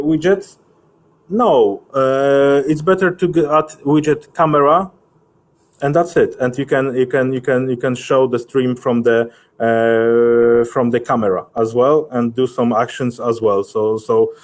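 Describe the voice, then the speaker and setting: Slow, halting man. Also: mid-range voice, darkish tone. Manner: halting, hesitant, searching for words. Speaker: webinar presenter answering questions. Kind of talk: unscripted technical webinar Q&A.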